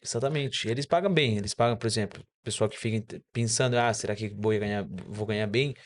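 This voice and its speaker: A man's voice: fairly low pitch, fast talker. A man whose first language is Spanish, speaking as a doctor guest on a casual podcast and explaining things with frequent self-corrections.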